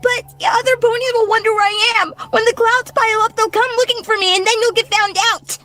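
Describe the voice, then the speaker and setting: A woman's voice: extremely high pitch, steady tone, a little fast, pushed and bright, soft background music. A woman playing a wary, plain-spoken young female cartoon pegasus pony in a dramatized horror story reading. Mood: desperate. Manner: casual, forthright, pleading.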